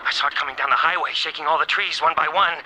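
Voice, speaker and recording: A man's voice: a little high, steady tone, quick pace, pushed and bright, a little hiss or hum, rough recording. An actor playing a frantic, paranoid man pleading urgently with his friend in a radio drama phone scene. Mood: frightened. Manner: urgent, agitated, insistent.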